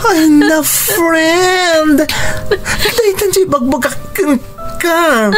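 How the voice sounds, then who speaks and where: A woman's voice: very high voice, very slow, soft background music, heavily acted. A woman playing a blunt, gossipy best friend in a radio drama, exclaiming dramatically in an Ilocano accent. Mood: scolding.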